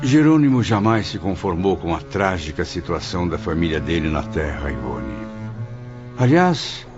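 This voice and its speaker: A man's voice: low voice, very animated, moderate pace. A man playing an inquisitive, courteous spirit in an audiobook drama, speaking formal literary lines. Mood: reflective. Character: inquisitive, courteous male spirit narrating his afterlife memories. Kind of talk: dramatized audiobook narration and dialogue. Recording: dull lo-fi audio with music behind.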